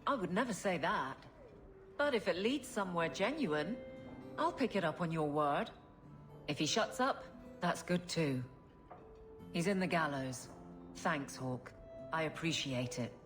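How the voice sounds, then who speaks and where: Casting: no-nonsense fantasy official, slightly low female voice, dry delivery, quiet music behind. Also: animated, unhurried, pauses often. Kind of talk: video game cutscene acting.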